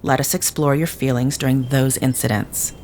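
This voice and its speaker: A calm, analytical woman speaks in a very low register. Her phrasing is stilted and exact, like a formal guide in an audio drama. A faint rumble sits under the voice. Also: moderate pace. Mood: measured, clinical. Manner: precise, stilted, without contractions. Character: calm, formal, analytical female companion guiding meditation. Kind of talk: scripted audio drama acting.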